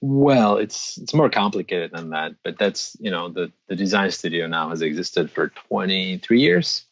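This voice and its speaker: A man's voice: sits low, animated, highs cut off. A middle-aged man from the Czech Republic, a designer and metalsmith, giving thoughtful interview answers with frequent restarts. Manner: thoughtful, restarts often, measured.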